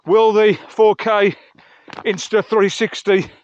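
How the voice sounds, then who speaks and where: A man with a fairly high voice chats to his camera in a casual vlog style. He narrates his own outing as he goes. The audio is somewhat dull and lo-fi, recorded outdoors. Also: level tone, slow. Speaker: outdoor vlogger and gear reviewer. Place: outdoors on a mountain trail.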